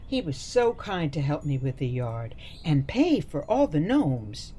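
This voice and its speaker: Radio drama: sweet, oblivious old mum played by a woman, chatty and coaxing, unhurried delivery, highly animated intonation. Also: slight background noise. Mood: sweetly pleased. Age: older. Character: sweet, chatty, meddling elderly mother and grandmother. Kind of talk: radio play acting.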